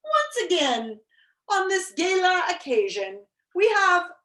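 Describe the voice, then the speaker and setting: A woman's voice: very high-pitched, big pitch swings, takes it slowly, sharp tone, echoey room, pitched above the performer's own voice, heavily acted, poor audio. Woman playing a grand, flustered storybook king. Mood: grandly proclaiming. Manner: regal, declamatory.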